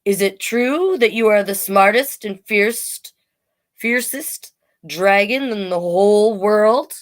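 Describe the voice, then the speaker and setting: Storybook princess, acted by a woman: young, bold, wheedling, voice lifted high. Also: slow, close to an everyday voice. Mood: insistent, flattering.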